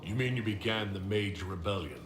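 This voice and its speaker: A man's voice: on the low side, normal speed, natural voice. A man voicing a composed, inquisitive fantasy leader in a video game, speaking directly and mostly in questions. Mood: composed, curious. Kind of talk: video game dialogue acting. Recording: roomy echo, clearly noisy game capture.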